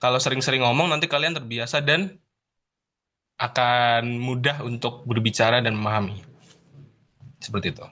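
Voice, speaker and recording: A man speaks as a guest in an online sharing session. He gives plain, encouraging advice. His voice has a bright, forward edge. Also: medium pitch, fairly even intonation, moderate pace, long pauses. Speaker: exchange program speaker. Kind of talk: casual advice.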